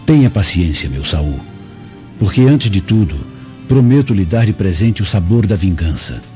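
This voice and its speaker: Deep, dark, vengeful father. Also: expressive, dull audio, soft background music. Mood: vengeful resolve. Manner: solemn, measured, reading out a letter. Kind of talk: radio drama monologue.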